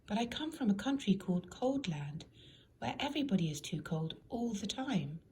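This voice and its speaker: A woman's voice: mid-range voice, big pitch swings, moderate pace, warm tone, a little hiss or hum, close to an everyday voice. A woman voicing a mild, puzzled little male storybook character with an innocent, plaintive manner.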